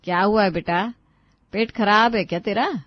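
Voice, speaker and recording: A woman's voice: mid-range voice, moderate pace, dry recording, lo-fi sound, natural voice. A woman playing a caring, thrifty middle-aged mother in a cartoon moral story, reasoning and scolding in a homely way. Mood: concerned.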